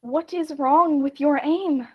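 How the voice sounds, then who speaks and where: A woman's voice: sits high, slow, muffled recording, heavy background noise. A woman playing a brash, vain, boastful small-town mayor in a comic radio play. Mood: bold defiance.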